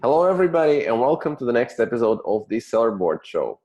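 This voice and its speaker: A man hosting a casual interview show, speaking in a somewhat halting way. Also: medium pitch, big pitch swings, darkish tone, muffled recording, faint music behind. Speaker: podcast host.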